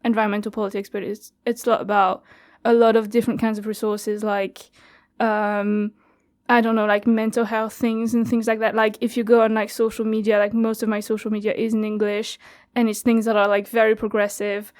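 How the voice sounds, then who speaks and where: A woman's voice: mid-range voice, flat delivery, fairly quick, darkish tone. A French woman in her twenties, chatting casually as an interview guest, with frequent laughs and fillers. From France. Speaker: guest, youth radio studio coordinator.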